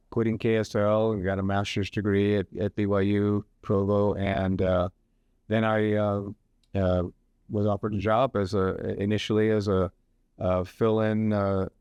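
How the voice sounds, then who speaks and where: A man's voice: on the low side, a little mellow, smooth, no room sound, clean studio sound. A man in his sixties, a professor from Hawaii, talking through his career on a radio interview with many fillers and restarts. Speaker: professor and guest.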